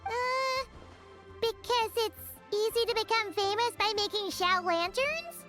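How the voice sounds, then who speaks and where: A woman voicing a tiny, excitable fairy companion in a video game, with a squeaky childlike voice and bubbly delivery, over music. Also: unhurried. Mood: hesitant, unsure. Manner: bubbly, exclamatory, speaks of self in third person.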